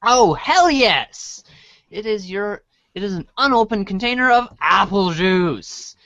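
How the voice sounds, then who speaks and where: A man's voice: high voice, expressive, a little slow, sharp tone, no echo. A man narrating a comic as a read-along storybook, informal and stumbling over words as he reads. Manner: informal, stumbles while reading. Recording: dry, dull band-limited audio.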